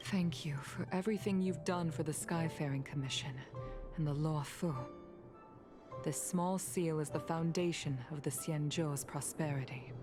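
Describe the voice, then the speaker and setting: A woman's voice: sits low, steady tone, moderate pace, close to an everyday voice. An actress playing a solemn, composed female official in a video game, formal and grave, with music behind her. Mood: solemn, grieving. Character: solemn, composed woman official honouring the dead. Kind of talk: scripted video game voice acting. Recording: music under the voice.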